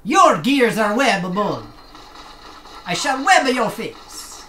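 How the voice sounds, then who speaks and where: Playful streamer woman, lively voice, roomy sound. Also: fairly high pitch, slow. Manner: playful, joking, trying out put-on accents; chatty, talks back to the game. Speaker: gaming streamer. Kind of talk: casual live-stream commentary. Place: home room at a desk. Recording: roomy with faint room hum.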